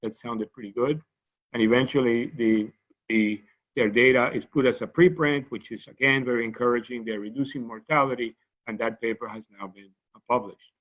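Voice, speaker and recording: A man's voice: mid-range voice, fairly even intonation, moderate pace, a bit bright, lo-fi sound. A man from Cuba with a Cuban Spanish accent, giving a scientific lecture in a conversational way, often restarting his sentences and adding asides. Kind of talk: academic lecture.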